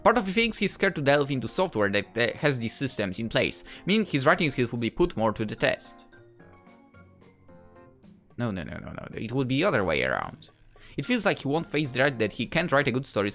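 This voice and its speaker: A man comments casually on a game. His intonation is lively as he rambles and thinks aloud, with soft music in the background. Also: medium pitch, fairly quick. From Poland. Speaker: gaming YouTuber. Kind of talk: casual let's play commentary.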